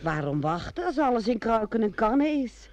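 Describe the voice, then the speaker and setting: An actress playing a doting, practical butcher's wife who coos and coaxes in a vintage radio drama. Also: female voice, fairly high pitch, sing-song, normal speed, darkish tone. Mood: agreeable, eager. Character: doting, coaxing butcher's wife eager to marry. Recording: dull, noisy old radio recording.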